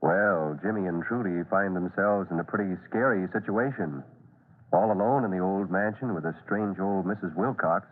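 A man announcing and narrating a children's adventure serial in old-time radio style. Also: lowish voice. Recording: tinny, narrow-band old radio recording.

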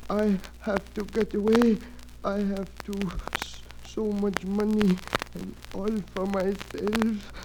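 High-pitched, halting foreign villain. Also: male voice, flat delivery, vocal fry, exaggerated voice. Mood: desperate, weary. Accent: foreign, non-native English. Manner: halting, broken English, overwrought pleading. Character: wounded, defeated villainous male professor, desperate and pleading. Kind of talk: old-time radio drama acting. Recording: noisy old radio recording.

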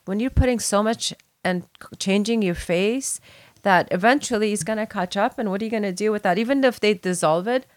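A woman's voice: mid-range voice, no echo, studio quality. A woman in her forties, originally from Afghanistan, chatting casually and emphatically in a group conversation. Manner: chatty and emphatic, quick back-and-forth. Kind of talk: casual chat.

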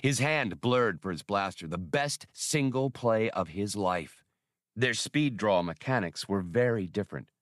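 A man's voice: on the low side, a bit bright, no room sound, studio quality. A man narrating adventure fiction as an audiobook in a dramatic, scripted reading style.

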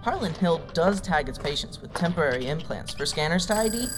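Federal tech analyst, lower-pitched, lively intonation, wry, informal, audio drama. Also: female voice, fairly quick. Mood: wry, businesslike. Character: woman federal agent, wry talkative technical analyst. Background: music bed.

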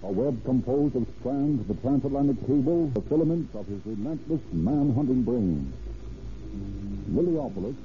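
A man's voice: mid-range voice, expressive, normal speed, a little hiss or hum. Male narrator, theatrical, emphatic, scripted old radio drama, narrow tinny broadcast, music behind.

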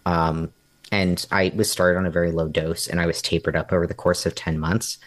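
A young man speaking as an interview guest, thinking out loud with many pauses and ums. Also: low voice, little pitch movement, no room sound. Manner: hesitant and reflective, many ums. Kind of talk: candid personal interview.

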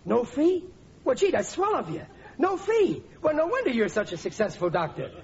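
A man's voice: very high-pitched, wildly swooping pitch, normal speed, exaggerated voice. A man playing a bumbling, gullible but sly everyman in 1940s radio comedy, stammering and repeating himself in a blustery way. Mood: pleased, crafty. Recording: muffled old radio recording, clear surface noise.

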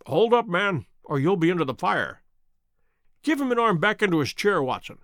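Man as a sardonic, commanding Victorian detective, lively intonation. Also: sits high, no room sound, clean studio sound, full character voice. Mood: quiet authority. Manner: measured, stern, dryly mocking. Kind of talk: dramatic audiobook character reading.

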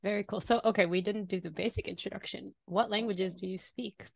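A woman's voice: medium pitch, steady tone, fairly quick, creaky. A woman hosting a podcast interview in a casual, conversational way, rephrasing and elaborating as she goes.

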